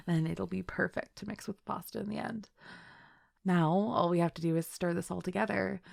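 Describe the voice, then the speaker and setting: Giggly, creaky-voiced flirty best friend played by a woman. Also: on the low side. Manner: giggly, hesitant, casual and affectionate. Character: chill, flirty female best friend shyly confessing love. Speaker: voice actor. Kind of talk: intimate first-person audio roleplay.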